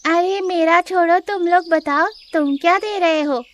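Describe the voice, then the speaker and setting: A woman's voice: extremely high pitch, unhurried, dull audio, voice pushed up. A woman voicing a lazy, nosy young male monkey in a children's cartoon, talking in a casual, prying way. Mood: curious.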